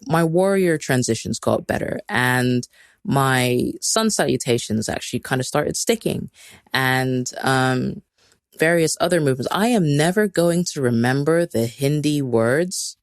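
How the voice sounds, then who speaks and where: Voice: mid-range voice, expressive, measured pace, no echo, clean studio sound. Chatty rambling podcast host from Kenya. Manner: rambling and chatty, heavy use of "like", laughs at self; self-deprecating, motivational. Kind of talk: casual solo live-stream talk.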